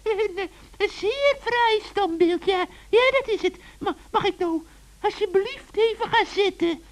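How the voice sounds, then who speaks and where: A man's voice: very high-pitched, a little slow, pitched above the performer's own voice. A man voicing a whining, scheming old female witch in a children's radio play, theatrical and stammering. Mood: flustered.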